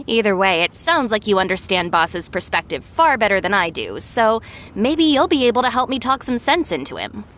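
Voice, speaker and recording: A woman's voice: medium pitch, very animated, normal speed, a bit bright. A woman playing a sensible, stern young gang deputy in an anime game, speaking in a firm, no-nonsense way. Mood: resigned.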